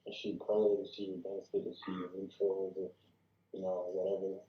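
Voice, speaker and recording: A man speaks in a low, very even monotone, giving casual notes in a group discussion. He reasons things through with probing questions. The recording is dull and echoey. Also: moderate pace. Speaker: participant giving script feedback at a table read.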